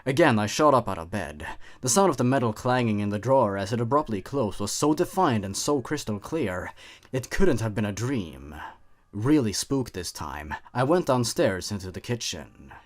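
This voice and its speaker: Man, low voice, very varied intonation, scripted horror narration, suspenseful, theatrical delivery. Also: very animated, moderate pace.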